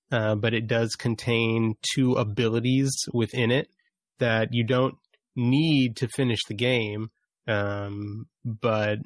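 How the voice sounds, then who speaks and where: A man's voice: medium pitch, no echo. A man co-hosting a gaming podcast, chatting casually in an understated, matter-of-fact way. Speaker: podcast co-host.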